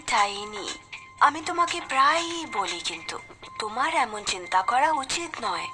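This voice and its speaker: A woman voicing a patient, encouraging mother who reassures her children and tells them a story. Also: high voice. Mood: gentle. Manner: gentle and reassuring, lively storybook telling. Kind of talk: cartoon dubbing and storybook narration. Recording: background music under the voice.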